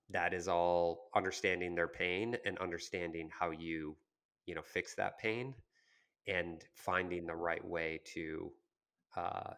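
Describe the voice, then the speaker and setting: A man's voice: low-pitched, vocal fry, a bit bright. A man co-hosting a conversational podcast about business, talking in an explanatory, anecdotal way. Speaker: podcast co-host discussing marketing.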